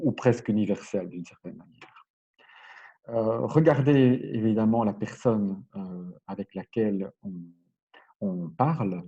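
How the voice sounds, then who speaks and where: A man giving an explanatory language lesson as a teacher, in a measured, hesitant way, searching for his words. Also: lowish voice, warm tone, breathy edge, dull audio. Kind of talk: explanatory lesson, semi-improvised.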